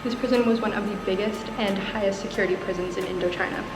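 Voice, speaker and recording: A woman's voice: mid-range voice, fairly even intonation, fast. A young adult woman giving casual travel vlog narration. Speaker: travel vlogger.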